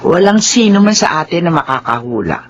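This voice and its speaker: Lively, caring Filipino older wife. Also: female voice, lowish voice, fairly quick, dull audio. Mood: gently scolding. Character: caring, scolding older village wife, practical and devout. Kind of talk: Filipino radio drama acting.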